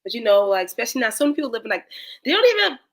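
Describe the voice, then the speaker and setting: A woman's voice: a little high, rapid-fire. A young woman with a South Carolina Geechee accent, talking in a casual, slangy way as a guest commentator. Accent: Gullah Geechee, South Carolina. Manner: animated, slangy, many "like" fillers. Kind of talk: casual chat.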